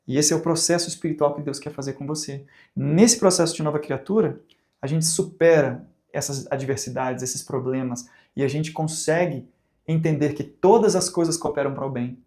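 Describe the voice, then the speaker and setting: Man, Brazilian Portuguese accent, course instructor, rhetorical questions, fairly high voice, warm tone. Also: moderate pace. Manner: conversational, asks rhetorical questions, acts out examples. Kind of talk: recorded teaching talk.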